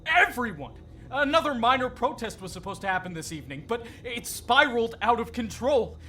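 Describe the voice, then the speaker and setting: A narrator acts out an agitated man with urgent warnings. His voice is high and bright, with a tense edge, over a slightly noisy audiobook recording. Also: lively intonation, brisk, heavily acted. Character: frantic man in a suit bringing dire warnings. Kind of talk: acted audiobook dialogue.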